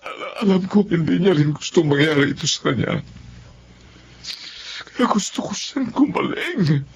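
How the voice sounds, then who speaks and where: Wounded, protective husband, higher-pitched male voice. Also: big pitch swings, slight background noise. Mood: torn, resolute. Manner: earnest, halting, heavy with emotion. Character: wounded, protective husband confronting his wife's affair. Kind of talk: Tagalog radio drama acting. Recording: faint music behind the voice.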